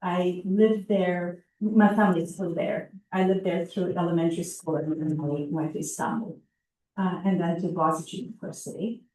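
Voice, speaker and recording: A middle-aged woman from Turkey, speaking in a measured, deliberate way about her life. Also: on the low side, fairly even intonation, darkish tone, slight background noise. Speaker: oral history interviewee. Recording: echoey room, distant mic.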